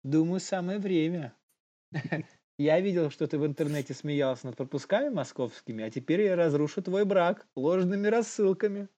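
A man's voice: fairly high pitch, normal speed, mellow, pitched above the performer's own voice, natural voice. A man playing a spiteful, petty city official who gloats with mock menace in a comic bit. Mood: gloating, vengeful.

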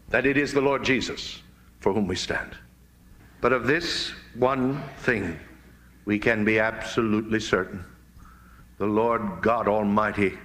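An American man preaching in a fiery oratorical style, emphatic and solemn, with deliberate dramatic phrasing. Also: fairly low pitch, fairly bright tone, slight background noise. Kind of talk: fiery oratorical sermon speech.

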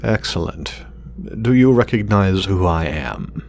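A man's voice: deep voice, expressive, measured pace, gravelly, a little mellow, slight background noise, exaggerated voice. An actor playing an irritable, obsessive scientist muttering hesitantly to himself and his drone in an audio drama. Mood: businesslike. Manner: muttering, self-reassuring, hesitant, swearing.

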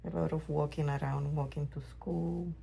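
A middle-aged woman from Puerto Rico, a mother, fondly recalling her childhood in an unhurried, wistful way. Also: sits low, fairly even intonation, gravelly, warm tone, a little hiss or hum. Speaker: interviewee, mother. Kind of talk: reflective personal storytelling.

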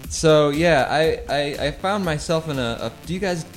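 A man playing an awkward, lovelorn vampire calling an advice show, halting and hesitant, in improvised radio comedy. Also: a little high, soft background music, close to an everyday voice. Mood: nervous, eager. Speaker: caller.

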